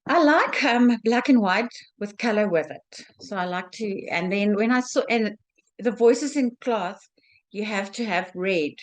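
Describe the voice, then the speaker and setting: A South African woman being interviewed about her quilt, speaking haltingly with many fillers and restarts. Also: medium pitch. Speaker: guest quilter. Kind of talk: video call interview.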